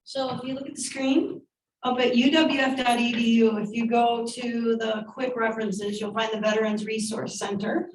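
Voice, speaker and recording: A woman's voice: a little high, steady tone, moderate pace, slight background noise. Woman explaining steps in an echoey hall. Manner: explaining step by step. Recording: echoey meeting room, far from mic.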